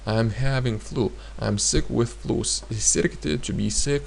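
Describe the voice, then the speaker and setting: Guy teaching grammar, really slow, stops a lot to think, says examples twice, bit gravelly. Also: mid-range voice, slight background noise. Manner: hesitant, many filler pauses, repeats example sentences. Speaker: language teacher. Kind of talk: explanatory grammar lesson.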